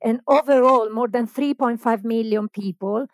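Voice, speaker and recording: A woman hosting a podcast, giving a formal, measured introduction read from notes. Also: a little high. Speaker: podcast host. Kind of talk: scripted introduction and background narration.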